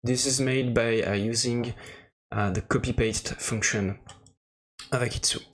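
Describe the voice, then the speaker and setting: A man who speaks English as a second language, presenting an informal software demo in a halting, hesitant way with frequent pauses. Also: medium pitch, fairly even intonation. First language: non-native English speaker.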